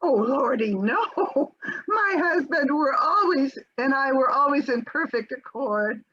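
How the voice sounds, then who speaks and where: A woman reads a breezy, evasive housewife who chatters airily. Her voice sits high and swoops widely, with a quavering, giggly edge. The sound is dull and lo-fi, like a home video call. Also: animated, a little slow, fairly bright tone. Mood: airy. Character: flighty, evasive middle-aged housewife, breezily unconcerned. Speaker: reader in an amateur readers theater performance. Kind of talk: comic readers theater scene read over a video call. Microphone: home video call mic.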